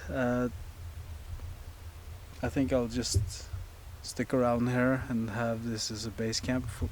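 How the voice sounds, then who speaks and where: A Norwegian man speaks English with a Norwegian accent, slowly and calmly, pausing often and filling gaps with "uh" as he chats to the camera. He is outdoors at a lakeside camp, with faint ambient noise around him. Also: medium pitch, rough recording. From Norway. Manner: relaxed, hesitant, many fillers. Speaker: outdoor vlogger. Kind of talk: casual solo vlog, talking to camera.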